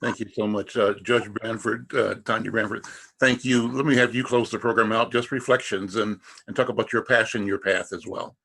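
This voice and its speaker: Husky-voiced male host. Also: on the low side, steady tone, moderate pace, bright, forceful tone. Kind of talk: panel discussion host.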